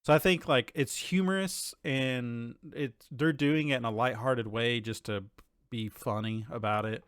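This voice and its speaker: Dry-sounding, halting man chatting casually. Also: medium pitch, a bit bright, clear, resonant tone, no room sound. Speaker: podcast co-host. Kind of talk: casual gaming podcast chat.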